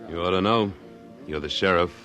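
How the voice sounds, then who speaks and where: An actor playing a tough, independent cattleman in a radio western, laconic and deadpan. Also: low-pitched, fairly quick, soft background music. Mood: dry, firm. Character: tough, dry, independent cattleman of the Old West. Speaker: lead actor and narrator. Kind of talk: 1950s radio western acting. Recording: vintage radio drama recording.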